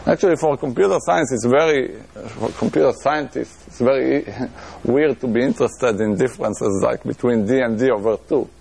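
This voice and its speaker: A middle-aged man with an Israeli accent lectures in a room, his mid-pitched voice gravelly and a little husky. He thinks aloud as he goes, restarting phrases and filling pauses before pressing on. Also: a little mellow. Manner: thinking aloud with frequent restarts and fillers, occasional chuckles. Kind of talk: academic lecture on math.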